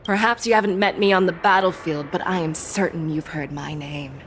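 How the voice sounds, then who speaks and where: A woman playing a proud, composed female general in a video game, speaking with measured authority. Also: fairly low pitch, fairly even intonation, a little hiss or hum, lightly acted. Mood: stern, commanding. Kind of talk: dramatic video game voice acting.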